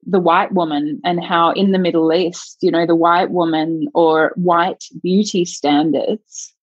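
A woman's voice: lowish voice, measured pace, breathy edge, no room sound. A woman speaking as a sexologist guest in an interview, reflective and searching, thinking aloud in long winding sentences. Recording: dull lo-fi dry sound.

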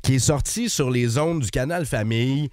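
A man's voice: mid-range voice, normal speed, darkish tone, no echo, clean studio sound. A man with a Quebec French accent chatting casually and animatedly as a morning radio co-host. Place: dry radio studio.

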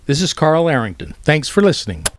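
A man's voice: on the high side, very animated, a little fast, noisy background. A man reading a scripted sign-off as a podcast host.

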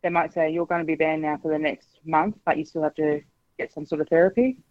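A woman's voice: low voice, steady tone, brisk, no echo, narrow, tinny audio. A woman, calling a radio show and speaking frankly, with many hesitations. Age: 40s. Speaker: caller. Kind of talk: candid phone-in chat.

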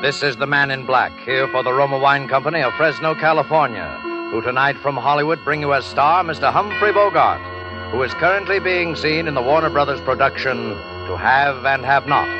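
A man's voice: mid-range voice, brisk. A man hosting a suspense show in formal, dramatic 1940s radio announcing style, reading a scripted introduction. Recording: dull vintage 1940s radio recording. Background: loud dramatic music.

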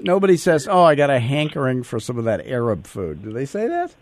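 A man's voice: medium pitch, huge pitch swings, brisk, dry recording. A man hosting a podcast, with brash, teasing banter. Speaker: podcast host. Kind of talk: joking radio-style chat.

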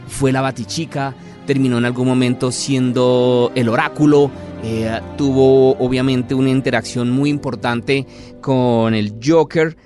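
A man with a mid-pitched voice hosts a podcast interview. He is chatty and addresses his guest with polite formality, over a bed of music. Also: a little mellow.

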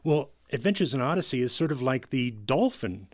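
A man in casual studio chat as a podcast panelist, jovial, halting and often repeating his words. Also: mid-range voice, sing-song, a little mellow, slight background noise. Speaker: podcast panelist, producer and writer.

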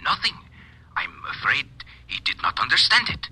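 A man's voice: medium pitch, huge pitch swings, moderate pace, pushed and bright, husky, heavily acted. A man playing an earnest, formal informant reporting by telephone in an old-time radio drama. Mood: earnest, disappointed. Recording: vintage radio recording.

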